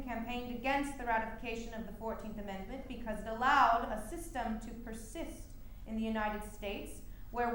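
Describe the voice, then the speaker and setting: A woman playing a composed, learned judge giving formal, measured testimony in a staged reading. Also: fairly high pitch, close to an everyday voice. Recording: echoey, distant mic, faint room noise.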